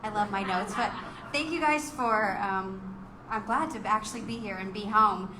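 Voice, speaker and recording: A woman's voice: medium pitch, normal speed. Texan lady chatting through a speech, roomy echo, noisy room. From Texas. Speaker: guest speaker. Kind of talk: speech at a political meeting.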